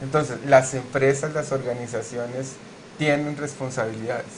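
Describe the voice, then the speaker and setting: A man's voice: medium pitch, fairly even intonation, normal speed, slight background noise, poor audio. A man hosting a recorded class module, who talks in a friendly, chatty way with frequent fillers and introduces his guest. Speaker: course instructor, host. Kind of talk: hosted class interview.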